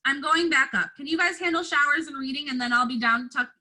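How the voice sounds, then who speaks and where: A woman voicing a weary, worried mother in a storybook, brisk and questioning. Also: sits high, pushed and bright. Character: weary, worried mother of two squabbling children.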